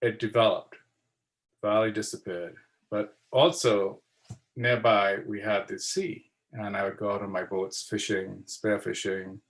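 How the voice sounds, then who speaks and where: A man from Trinidad and Tobago giving an unhurried slide presentation over a video call, pausing for fillers and repeating words. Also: lowish voice, flat delivery. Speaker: guest speaker.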